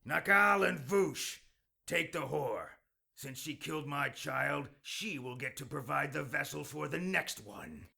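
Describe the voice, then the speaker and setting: A man playing a pompous, menacing demon lord in an audio drama, commanding and gravelly. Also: on the high side, a little slow, sharp tone, heavily acted.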